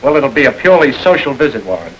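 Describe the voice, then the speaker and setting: An actor playing a suave, wry gentleman crook who runs his gang like a business, in a 1930s crime film. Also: mid-range voice, sing-song, fast, dull audio, close to an everyday voice. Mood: urbane. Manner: urbane, wry and smoothly commanding.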